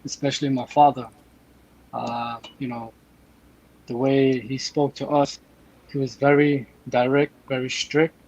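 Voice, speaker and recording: A man's voice: medium pitch, little pitch movement, a little slow, pauses often, darkish tone. A man in his thirties from Afghanistan, a guest telling earnest stories over a remote call. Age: 30s. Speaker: podcast guest. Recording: dull remote call audio with faint noise.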